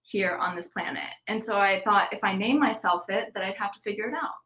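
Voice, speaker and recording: A woman's voice: mid-range voice, brisk, bright, forceful tone, roomy sound. A woman chatting as a podcast guest in a bubbly, giggly and playful way. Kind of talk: casual interview chat.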